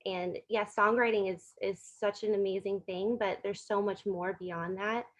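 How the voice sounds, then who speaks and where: A woman's voice: medium pitch, steady tone, a little slow. A young American woman giving a casual, conversational testimonial on slightly muffled, lo-fi audio. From Florida, United States.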